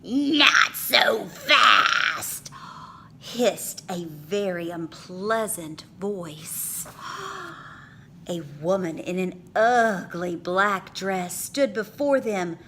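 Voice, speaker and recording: A woman reading a picture book aloud to children with dramatic, expressive phrasing. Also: on the low side, extremely slow, a little hiss or hum.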